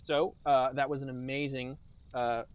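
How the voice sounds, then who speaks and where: A man's voice: medium pitch, flat delivery, unhurried, smooth. A man hosting a comedy podcast, joking sarcastically in casual chat. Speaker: podcast host.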